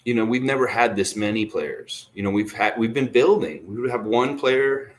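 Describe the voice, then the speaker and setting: A man's voice: medium pitch, normal speed, a little hiss or hum. A man giving casual, unscripted sports commentary as an enthusiastic fan, rambling and repeating himself for emphasis. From Canada.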